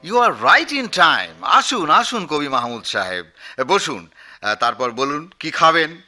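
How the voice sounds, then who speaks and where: Pompous male newspaper editor, very bright forceful voice, swooping delivery, florid comic radio-play grandeur. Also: fairly high pitch, big pitch swings, slow, heavily acted. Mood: effusive, instructing. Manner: pompous, florid, wheedling, with hesitant filler sounds. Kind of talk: comic Bengali radio drama acting.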